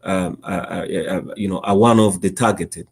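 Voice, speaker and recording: A man with a Congolese accent answering as an interview guest, speaking haltingly and often repeating the starts of words. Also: sits low, fairly even intonation, vocal fry, slightly rough. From Democratic Republic of Congo. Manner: halting, stammering repetitions of word starts. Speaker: interview guest, nonprofit executive director.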